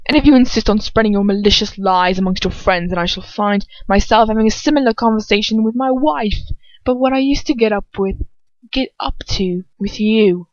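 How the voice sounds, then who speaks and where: A woman's voice: medium pitch, a little fast, no echo, lo-fi sound, lightly acted. A woman voicing a cold, haughty, contemptuous man in a story reading, with a curt and drawling manner. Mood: menacing.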